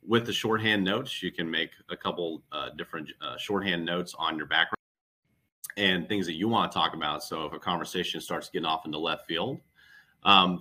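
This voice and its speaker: A man with a strikingly deep voice that is also very bright and forceful. He gives career advice conversationally, as an interview guest, and often circles back to rephrase what he is saying.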